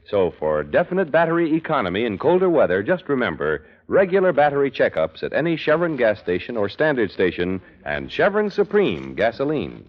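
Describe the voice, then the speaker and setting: Old-time american radio announcer guy, polished salesy read, lots of pitch movement, muffled. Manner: polished, emphatic sales delivery. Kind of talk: 1940s-50s scripted radio announcing and commercial. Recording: muffled vintage radio broadcast.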